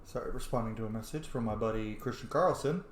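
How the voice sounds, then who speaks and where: A man's voice: on the low side, quick pace, roomy sound, a little hiss or hum. An enthusiastic male game streamer giving exclaiming, casual running commentary.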